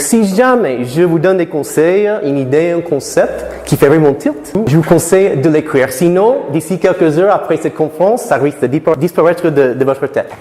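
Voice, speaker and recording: A man's voice: a little high, darkish tone. A middle-aged American man, a native English speaker, giving a live conference talk to students, often asking the audience questions and restarting his phrases. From United States. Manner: asks the audience many questions, halting with restarts. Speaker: lecturer. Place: lecture hall. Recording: echoey hall, long reverb.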